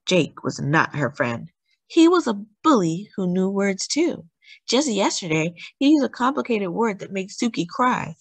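A woman reading a children's picture book aloud as a storyteller, with deliberate, dramatic emphasis on key words. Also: on the low side, slightly rough, no echo. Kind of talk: expressive read-aloud narration for children.

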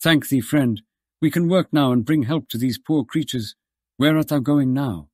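A man's voice: mid-range voice, normal speed, slightly rough, dry recording, studio quality, close to an everyday voice. An actor playing a solemn, kindly Quaker man with archaic thee-and-thou speech in an audiobook. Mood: solemn, grateful.

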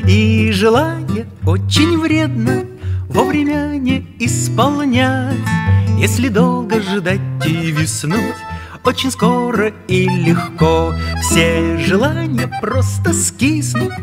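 A woman sings a children's song in a fairly high voice. The phrasing is slow, and loud plucked guitar music accompanies it throughout. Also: animated.

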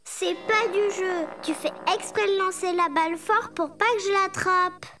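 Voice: very high-pitched, steady tone, moderate pace. A performer voicing a little bear cub boy in a preschool cartoon, childlike, simple and prone to whining. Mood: sulky, tearful. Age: child character. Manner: childlike, simple, whiny when upset. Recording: music behind the voice.

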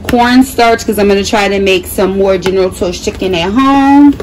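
Woman, middle-aged, medium pitch, casual vlog narration, distant noisy home recording. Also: normal speed. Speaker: vlogger, mother. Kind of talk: casual grocery haul narration. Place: home kitchen, indoors. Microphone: camera mic at a short distance.

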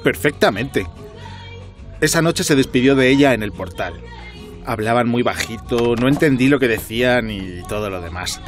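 A man's voice: mid-range voice, animated, moderate pace, soft background music, natural voice. An actor as a chatty, self-important doorman. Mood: insistent, confiding. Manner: colloquial, repetitive verbal tic. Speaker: building doorman. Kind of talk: radio drama acting.

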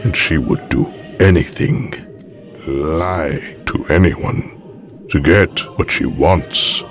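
An extremely deep, slow-spoken old aristocratic villain in an audio drama. He is formal, calm and manipulative, with silky menace, over background music. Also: exaggerated voice. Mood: cold, measured. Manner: measured, formal, silkily menacing.

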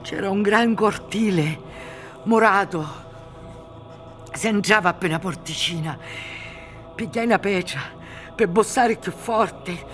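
An actress in her sixties playing a haunted, grieving old peasant mother in a radio drama, with a Sicilian accent and a halting, pleading delivery. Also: medium pitch, soft background music, natural voice. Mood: frantic, horrified. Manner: slow, halting, swinging from whispers to cries. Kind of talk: dramatic radio play monologue. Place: theatre stage.

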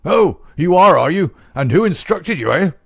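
A man reading aloud, voicing a querulous, miserly old male lord with a sharp crackling voice and an indignant, shrill manner. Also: big pitch swings, vocal fry, husky, heavily acted. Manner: shrill, indignant, rapid-fire.

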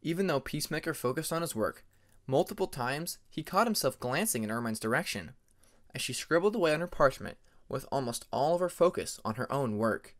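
A man's voice: medium pitch, expressive, a little fast. A man reading a story aloud as narrator of an amateur audiobook.

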